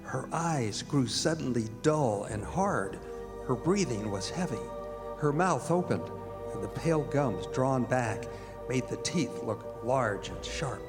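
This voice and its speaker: An actor playing a somber doctor who narrates his diary in a measured, dramatic radio theatre manner. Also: medium pitch, animated. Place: performing arts center stage. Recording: echoey theatre hall with music behind.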